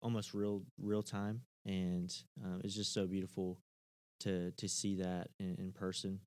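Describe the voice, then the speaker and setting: A man's voice: sits low, level tone, unhurried, a little mellow, smooth, no echo. A man, a church member, talking earnestly in casual conversation, often stopping and restarting.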